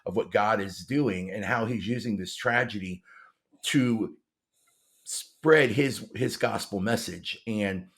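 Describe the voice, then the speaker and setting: A man's voice: lowish voice, slow pace. A man hosting a conversational podcast interview, with hesitant, rambling phrasing and repeated words.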